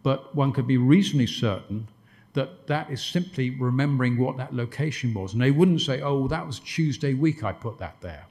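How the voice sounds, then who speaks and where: Man, mid-pitched, conference speaker, dry wit, rambling restarts. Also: normal speed, a little mellow. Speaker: guest lecturer answering questions. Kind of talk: conference Q&A answers.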